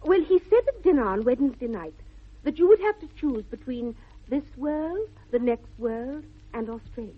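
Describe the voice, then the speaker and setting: A woman's voice: high-pitched, expressive, normal speed, husky. An actress playing a sly, artless young upper-class English girl in a precise, demure 1960s radio comedy. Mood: prim teasing. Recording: muffled, band-limited old radio broadcast.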